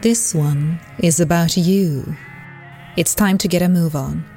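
A woman playing a smug, bossy disembodied divine voice in a comedic audio drama, speaking in a measured, commanding way. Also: lowish voice, warm tone, faint music behind.

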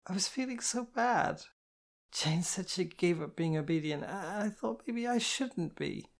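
A reader voicing a tipsy, tearful young woman who speaks in slow, halting, soft phrases and stumbles over her words. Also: mid-range voice, animated, creaky, pitched above the performer's own voice. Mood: earnest, tearful. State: drunk and weepy.

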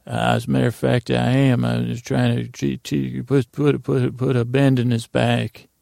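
A bossy old country grandfather, played by a man in a podcast skit. He is terse and folksy, handing out scolding advice in a heavily creaky voice, recorded close and dry. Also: medium pitch, level tone, normal speed, darkish tone, dry recording. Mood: stubborn. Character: gruff old rural grandfather, bossy lesson-giver.

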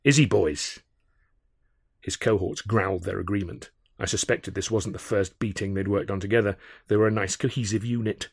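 A man reading a scripted first-person comic adventure, wry and expressive as a storyteller. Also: fairly low pitch, a little fast, gravelly, slightly rough, dry recording, studio quality. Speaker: author narrating own audiobook.

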